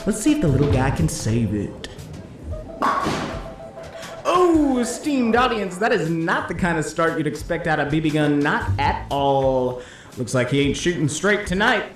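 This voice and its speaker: An actor playing a slick, cheeky 1950s disc jockey who calls a bowling game with showy hepcat patter and drawn-out flourishes. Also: male voice, on the high side, sing-song. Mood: excited play-by-play. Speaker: play-by-play announcer. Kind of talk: 1950s radio-style sports commentary. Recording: roomy echo, music underneath.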